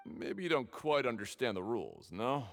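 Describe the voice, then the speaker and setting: An actor playing a brisk, slightly condescending fight ticket seller in a video game, with a showy salesman's delivery. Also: medium pitch, big pitch swings, gravelly. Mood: baffled, condescending. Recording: game music behind.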